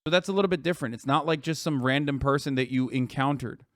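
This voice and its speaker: A man live streaming, reacting to tweets and lecturing his chat in a profane, animated way full of rhetorical "okay?" asides. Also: medium pitch, fast, dry recording, clean studio sound.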